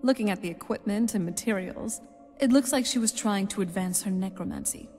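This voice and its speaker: A woman playing a young vampire woman from a fantasy video game, curious and conversational. Her mid-pitched voice rings in a long hall reverb, with music playing behind her. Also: a little fast, dark tone, close to an everyday voice. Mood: thoughtful, focused. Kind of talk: video game character dialogue.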